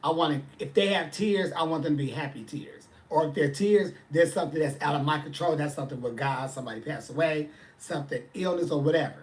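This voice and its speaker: A man's voice: fairly high pitch, quick pace, hardly any pauses. A man, chatting casually on a livestream and answering viewers' comments with sass. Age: 40s. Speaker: livestream host.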